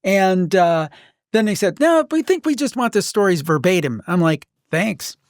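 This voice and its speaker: A man's voice: sits high, big pitch swings, moderate pace, no echo, clean studio sound. A man hosting a podcast, speaking conversationally as an interviewer who shares asides of his own. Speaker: podcast host.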